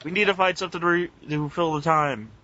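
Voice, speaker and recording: A man co-hosting a casual podcast with teasing, profane banter and halting, informal review talk. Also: fairly high pitch, fairly even intonation, pushed and bright. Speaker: podcast host. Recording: muffled lo-fi internet call recording.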